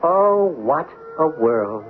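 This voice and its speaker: A whimsical little talking coin, narrating a radio play in a highish, very lively voice. He is chatty and pleased with himself, with music behind a dull broadcast recording. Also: very slow, full character voice. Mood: wondering. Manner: theatrical, chatty, self-satisfied. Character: talking male dime, whimsical poetic storyteller. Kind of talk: old-time radio drama narration.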